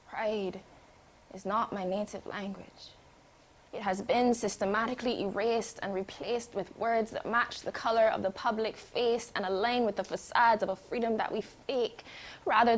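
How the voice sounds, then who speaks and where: A Barbadian woman reciting spoken word poetry with a measured, rhythmic cadence. Her voice sits at an ordinary middle pitch for a woman. She swings between forceful lines and quiet, hushed ones.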